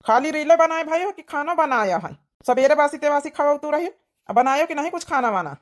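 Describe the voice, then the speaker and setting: A woman's voice: high-pitched, expressive, a little fast. A woman voicing a tired, grumbling village husband with an Awadhi accent in a cartoon comedy. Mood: irritated. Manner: grumbling, berating.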